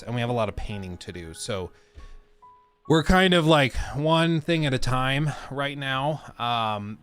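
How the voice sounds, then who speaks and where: A young adult man with a stuffed-up nose, hosting a casual live stream and rambling with lots of fillers. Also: mid-range voice. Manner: rambling, chatty, many fillers.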